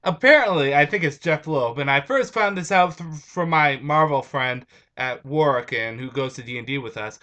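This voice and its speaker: Young male vlogger, bright and a touch raspy, nasal from allergies, loose and rambling. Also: a little high, normal speed. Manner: rambling, restarts. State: stuffed up from allergies. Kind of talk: casual vlog chat. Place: at home.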